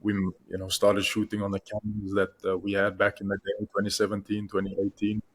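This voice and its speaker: A young man from South Africa speaks in a low, level voice as a podcast guest. He talks in a hesitant way, full of fillers and restarts. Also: completely flat delivery, a little fast, breathy edge, poor audio. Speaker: guest, content creator. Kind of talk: podcast interview.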